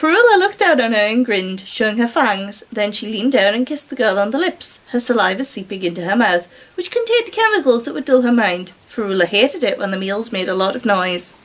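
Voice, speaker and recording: A woman with a high voice reads fiction aloud in a storyteller role. She slips between narration and chatty, mocking commentary, with a wry, sarcastic manner. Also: sing-song, fairly quick, few pauses.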